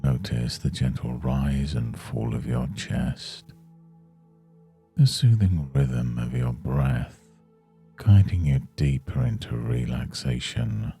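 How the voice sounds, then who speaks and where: A man guiding a yoga nidra meditation in a soothing, hushed, unhurried manner. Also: extremely low pitch, vocal fry, slightly rough, faint music behind. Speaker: meditation guide.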